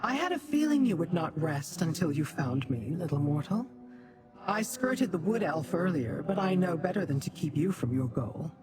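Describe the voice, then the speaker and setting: A woman's voice: on the low side, sing-song, moderate pace. An actress playing a proud, cold female demon in a video game, speaking in a haughty, condescending, formal way. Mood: composed. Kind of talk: video game character dialogue. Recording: music behind the voice.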